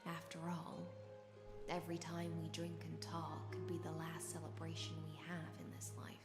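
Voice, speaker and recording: An actress playing a gentle, serene, quietly fatalistic woman in a video game, soft-spoken and formal in measured speech. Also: low-pitched, monotone. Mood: serene, solemn. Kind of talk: scripted game dialogue. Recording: echoey game audio under loud music.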